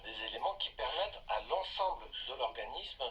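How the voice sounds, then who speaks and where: A man's voice: medium pitch, lively intonation, normal speed, fairly bright tone, dull audio. A male doctor explaining things emphatically and didactically as a guest in a radio interview. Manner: didactic, emphatic, restates points. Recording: slightly distant radio sound, faint noise.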